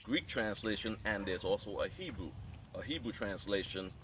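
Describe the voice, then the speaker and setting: A man teaching a Hebrew class, explaining in a measured way and reading scripture aloud. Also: fairly low pitch, fairly bright tone, slight background noise. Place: outdoor training camp.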